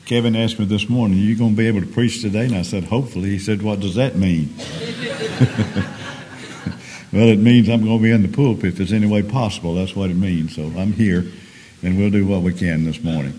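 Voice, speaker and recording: A middle-aged man preaching a sermon in a hoarse, raspy voice, speaking plainly with homespun examples and frequent restarts. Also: lowish voice, fairly even intonation, fast, dark tone, a little hiss or hum.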